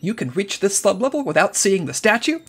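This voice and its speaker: A prim, fussy agent who speaks with precise, orderly diction. The voice rises and falls with lively swings and has a breathy edge. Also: male voice, high-pitched, a little fast, full character voice. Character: fussy man, prim bureaucratic agent who loves office supplies. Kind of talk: comedy audio drama.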